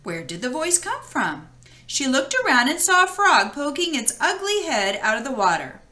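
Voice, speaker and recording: A woman reading a fairy tale aloud as an expressive storyteller, with deliberate dramatic emphasis. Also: high voice. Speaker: storybook reader.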